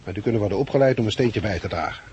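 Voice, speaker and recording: A man acting a blunt, loudmouthed labour agitator turned survivor leader in a 1960s radio play, forceful and lecturing. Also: on the low side, racing, husky. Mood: earnest, lecturing. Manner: forceful, lecturing, coarse-tongued. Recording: muffled-edged 1969 radio play recording.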